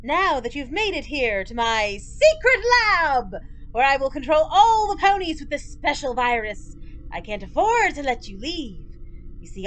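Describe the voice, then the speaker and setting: Lady doing an evil cartoon pony scientist, high lively voice, smug and theatrical, faint noise behind. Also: poor audio. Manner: smug, theatrical, polite menace. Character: smug villainous female cartoon pony, scheming mad scientist. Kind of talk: comedic audio drama acting.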